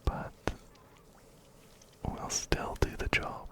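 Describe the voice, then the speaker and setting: Male ASMR gaming host, close-miked whisper, unhurried and soothing, gently repetitive narration. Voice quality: whispered, breathy, unvoiced. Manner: soothing, repeats words and phrases softly. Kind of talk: ASMR game playthrough, reading dialogue and narrating. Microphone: close-up binaural ear-to-ear mic.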